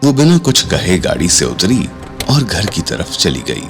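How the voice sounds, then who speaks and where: A man's voice: sits low, huge pitch swings, measured pace, music in the background. A man narrating a scripted audio drama in a dramatic storytelling style.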